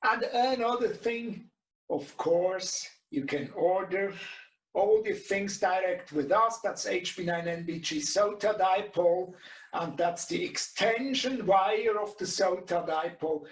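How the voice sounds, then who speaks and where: A middle-aged man with a Swiss German accent and German as his native language, presenting an informal tutorial in halting English, searching for words. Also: sits high, a little hiss or hum. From Switzerland. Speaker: webinar presenter and radio shop co-owner. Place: small indoor radio workshop. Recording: echoey room, distant mic.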